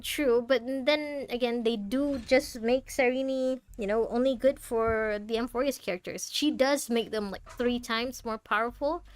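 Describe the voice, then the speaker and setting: A woman's voice: a little high, unhurried, few pauses, no room sound. A woman streaming a game, chatting casually and expressively as she thinks aloud and answers viewers. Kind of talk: casual livestream chat, reading out chat messages and game text.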